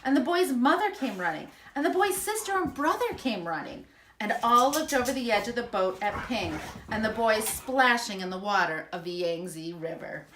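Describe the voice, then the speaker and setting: A woman reading a children's storybook aloud in an expressive, emphatic storytelling manner. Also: fairly high pitch, normal speed, a little hiss or hum, poor audio. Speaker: school principal reading aloud.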